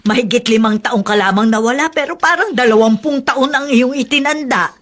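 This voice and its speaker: An actress playing a blunt, questioning relative in a Filipino radio drama. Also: female voice, fairly high pitch, a little fast, fairly bright tone, lightly acted. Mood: blunt, probing. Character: blunt, probing woman relative.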